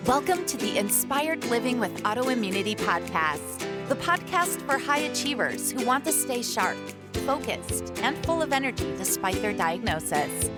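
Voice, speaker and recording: A woman's voice: a little high, sing-song, moderate pace. A woman announcer reading a scripted podcast opening in a polished, upbeat way. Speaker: show announcer. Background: guitar music.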